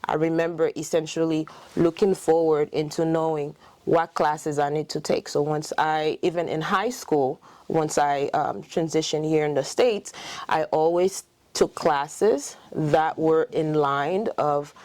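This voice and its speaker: A woman's voice: low voice, steady tone, measured pace, a little mellow, dry recording. A middle-aged Haitian woman whose first language is French, speaking in a Haitian French accent as a dentist and clinic owner in a casual interview, telling her story with many fillers and restarts.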